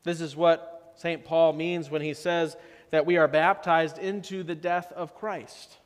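A man in his thirties preaching a sermon as pastor, slow and measured, often dropping to a softer tone for emphasis. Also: fairly high pitch, steady tone, smooth. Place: church sanctuary. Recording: long church reverb.

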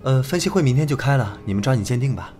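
A man playing a keen young investigator in an audio drama, brisk and conversational. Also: medium pitch, expressive, darkish tone, soft background music, lightly acted. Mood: focused, brisk. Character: keen young male investigator on a murder case. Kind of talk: Chinese audio drama acting.

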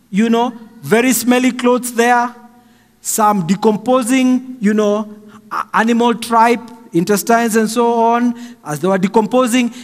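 A middle-aged Kenyan man preaching a slow, emphatic sermon from a pulpit stand mic in a large church hall. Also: very high voice. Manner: slow and emphatic, raising his voice for stress. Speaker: pastor. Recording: long hall reverb, faint music.

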